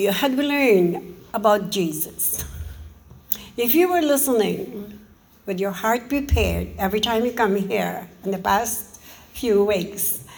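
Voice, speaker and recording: A woman with a soft voice giving a sermon and leading a spoken prayer, earnest and halting, often repeating "oh Lord". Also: medium pitch. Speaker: preacher.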